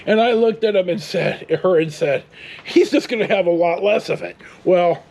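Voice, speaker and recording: A man's voice: high-pitched, normal speed, gravelly, darkish tone. A middle-aged American man tells rambling casual stories in a blunt, salty way. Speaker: storyteller vlogger.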